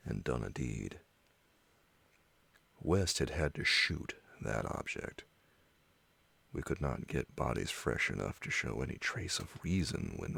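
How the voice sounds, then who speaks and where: A man with a husky, breathy voice reads a gothic horror story for ASMR listeners. He moves slowly through short, deliberate phrases. The sound is dry and close, with no room around it. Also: extremely low pitch, a bit bright, dry recording. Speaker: storyteller reading a horror tale. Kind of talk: slow ASMR bedtime narration of gothic horror.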